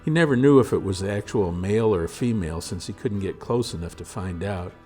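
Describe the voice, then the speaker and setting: A man reading a story aloud as narrator in a measured, dramatic storytelling manner. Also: low voice, expressive, darkish tone, faint music behind. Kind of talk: scripted audiobook narration.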